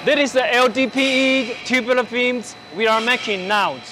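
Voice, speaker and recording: A man in his forties presenting machinery on a large factory floor, speaking haltingly with many fillers and restarts, using a lapel mic. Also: very high-pitched, steady tone, noisy background. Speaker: company presenter on a factory tour. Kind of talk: product walkthrough.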